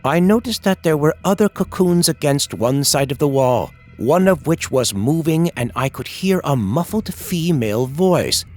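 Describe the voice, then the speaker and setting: A man's voice: on the high side, expressive, moderate pace, hardly any pauses, darkish tone. A man reading a scripted first-person horror story in a suspenseful, dramatic manner. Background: music.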